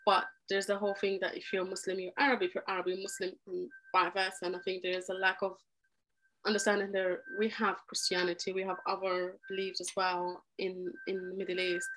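Earnest, animated Egyptian-born woman. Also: mid-range voice, a little fast, slight background noise, low-quality recording. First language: Arabic. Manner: earnest and animated, with frequent restarts. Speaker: podcast guest. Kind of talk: conversational interview.

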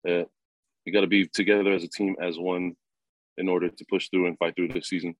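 A young man in his twenties, a rugby player answering interview questions in a relaxed, halting way with many fillers and chuckles. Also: sits low, no echo, rough recording. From New Zealand. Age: 20s.

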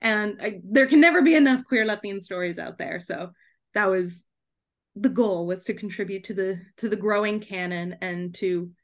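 A young woman talking casually as a podcast guest, chatty and giggly, peppering her sentences with "like". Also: lowish voice. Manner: chatty, frequent "like", giggles between phrases. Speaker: podcast guest, debut author. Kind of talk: casual conversational interview.

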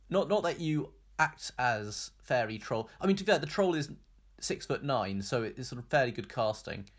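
Hesitant British man chatting. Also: medium pitch. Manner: hesitant, halting, hedging. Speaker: podcast co-host. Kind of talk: casual news chat.

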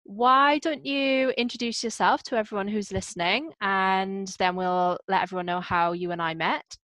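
A woman hosting a podcast, chatting casually with quick asides. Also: medium pitch, fairly even intonation, a bit bright, no echo. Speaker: podcast host. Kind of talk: casual chat.

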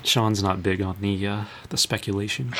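A man's voice: on the low side, fairly even intonation, moderate pace, a bit bright, slight background noise. A man co-hosting a podcast, chatting casually about video games. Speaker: podcast co-host. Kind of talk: casual video game discussion.

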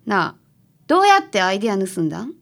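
A woman acting as a bright, assertive confectioner of about thirty in a radio drama, with a Kansai accent. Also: medium pitch, big pitch swings, fast, gravelly. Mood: accusing.

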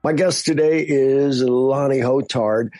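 A man's voice: mid-range voice, slow pace, mellow, no room sound. A man hosting a casual podcast with a nasal, stuffy voice while he recovers from flu, talking in a friendly, joking way. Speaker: podcast host.

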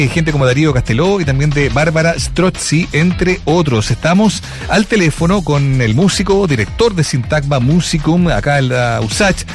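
Chilean male radio host, high voice. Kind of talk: live radio interview.

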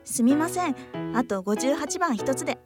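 A woman's voice: high voice, lively intonation, normal speed, over loud music. A woman playing a weary, wavering office worker who speaks her inner monologue in a radio drama.

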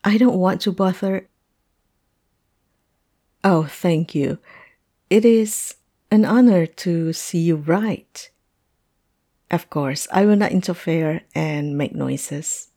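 A woman acting a hesitant, polite, heartbroken client in a fantasy roleplay audio drama, speaking haltingly. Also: fairly low pitch, dark tone. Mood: polite, subdued.

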